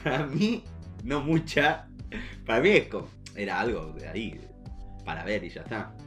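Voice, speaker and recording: A man's voice: on the low side, expressive, moderate pace, fairly bright tone, faint music behind. A young man with an Argentine accent talking casually as a podcast co-host. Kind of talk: casual chat.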